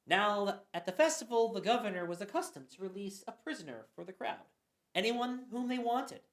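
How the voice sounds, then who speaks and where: A woman giving a dramatic Bible reading, expressive, with occasional stumbles. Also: mid-range voice, normal speed. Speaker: scripture reader. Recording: roomy echo.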